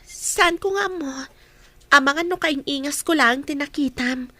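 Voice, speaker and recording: A woman's voice: high voice, unhurried, pushed and bright, no room sound. A woman playing a young, wounded daughter with an Ilocano accent in a radio drama. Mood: guarded. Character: young daughter, wounded by past ridicule of her looks.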